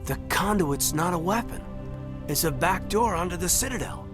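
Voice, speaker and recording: Skeptical male squadmate, somewhat high pitch, wry delivery, video game dialogue, music behind. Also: lively intonation, normal speed.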